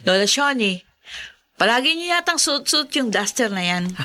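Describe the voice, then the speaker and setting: Palakaibigang babae, maliwanag ang tinig. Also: female voice, fairly high pitch, big pitch swings, moderate pace, bright, forceful tone. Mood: teasing. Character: friendly, teasing female acquaintance of an old woman. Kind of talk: Filipino radio drama acting.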